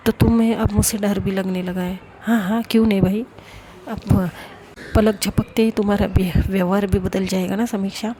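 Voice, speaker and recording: A woman's voice: mid-range voice, steady tone, normal speed, warm tone, slight background noise, close to an everyday voice. A woman voicing a young woman who confronts her friend with sarcastic, accusing questions.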